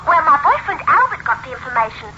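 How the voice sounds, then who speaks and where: Chirpy, gossipy telephone operator, very high voice, lively intonation, old-time radio drama. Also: female voice, fast. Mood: chatty. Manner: chirpy, chatty. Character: chatty, gossipy switchboard girl. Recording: muffled, noisy old radio recording.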